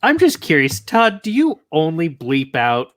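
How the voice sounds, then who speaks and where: A man's voice: fairly high pitch, wildly swooping pitch, measured pace, no room sound, clean studio sound. A man co-hosting a podcast, giving his opinions on films in casual conversation. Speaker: podcast co-host. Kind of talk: casual film review chat.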